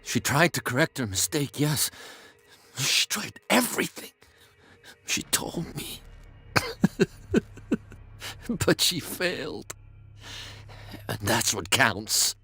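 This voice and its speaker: Raspy, raving, terrified madman. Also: medium pitch, very animated, slow pace, long pauses, sharp tone, full character voice. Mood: grimly reverent. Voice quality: raspy, gravelly. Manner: feverish, lurching from whispers to cries. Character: blinded, terrified, half-mad male asylum prisoner raving of a curse. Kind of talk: dramatic video game voice acting.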